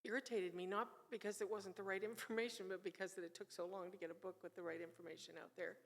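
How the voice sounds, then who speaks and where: A woman's voice: mid-range voice, fairly even intonation, fast talker. A middle-aged or older woman speaking candidly and reflectively in a group book discussion. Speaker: class participant.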